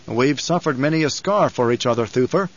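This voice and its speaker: A man's voice: mid-range voice, expressive, brisk, dull audio, lightly acted. A man voicing a proud, stern and weary nobleman in an audiobook, with an authoritative, clipped delivery. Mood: calm, probing.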